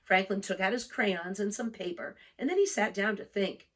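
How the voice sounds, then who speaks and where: A woman reading a picture book aloud for young children, expressive and deliberate, with storytelling emphasis. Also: medium pitch. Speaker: storybook reader.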